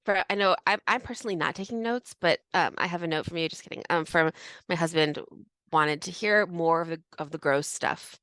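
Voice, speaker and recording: A woman's voice: fairly low pitch, fairly quick, fairly bright tone, clean tone, no echo. A woman co-hosting a podcast in casual chat, sounding a little sniffly. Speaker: podcast co-host.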